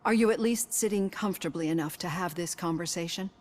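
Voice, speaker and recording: An actress playing a composed, professional female psychologist in a video game, measured, tactful and polite but firm. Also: on the low side, a little hiss or hum, close to an everyday voice. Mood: calm, tactful. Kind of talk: video game cutscene acting.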